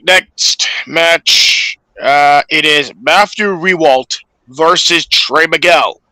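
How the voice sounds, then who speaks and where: A man with a sharp, forceful, fairly high voice recaps matches on a podcast. His delivery is slow and halting, and the sound is close and dry. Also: no room sound, rough recording. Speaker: podcast co-host. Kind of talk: casual wrestling match recap.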